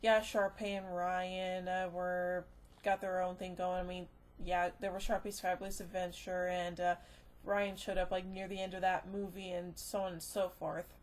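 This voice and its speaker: Rambling, halting woman reviewing casually. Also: fairly low pitch, level tone, slight background noise. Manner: rambling and halting, trailing off into filler phrases. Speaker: movie reviewer. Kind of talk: casual unscripted video review.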